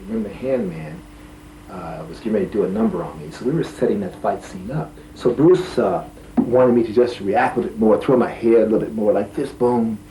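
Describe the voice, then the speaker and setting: Lively man telling a story in a roomy space. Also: medium pitch, slight background noise. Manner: lively, acts out the fight scene. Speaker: interviewee, actor. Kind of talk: animated anecdote. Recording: roomy echo.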